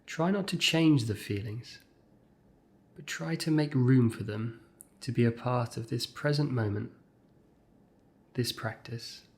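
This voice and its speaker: A man leading a guided meditation as a scripted exercise, speaking in measured short phrases with gentle pauses. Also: mid-range voice.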